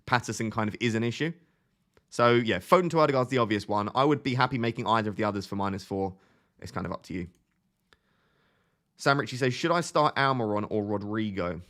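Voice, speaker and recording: Low-voiced young man streaming. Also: expressive, a little fast, a bit bright. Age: 20s. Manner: thinks aloud in a chatty way, often restarting phrases. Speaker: live stream host. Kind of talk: casual live stream chat answering viewer questions.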